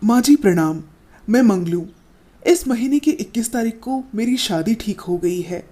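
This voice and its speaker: A woman's voice: on the high side, lively intonation, normal speed, warm tone. A woman voicing a young village man, a caring, protective brother who speaks earnestly. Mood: formal. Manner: earnest, protective, raised voice when upset.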